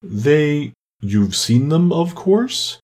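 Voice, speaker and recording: A man voicing a weepy, sentimental sea turtle in a children's storybook, with slow, drawn-out, mournful delivery. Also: a little high, big pitch swings, darkish tone, pitched above the performer's own voice, exaggerated voice. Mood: earnest.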